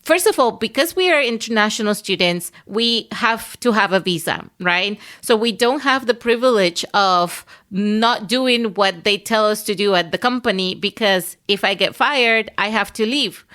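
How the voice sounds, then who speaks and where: A woman's voice: mid-range voice, normal speed, hardly any pauses, a bit bright. A woman from Venezuela hosting a podcast interview, explaining earnestly and often checking in with "right?". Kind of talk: conversational interview.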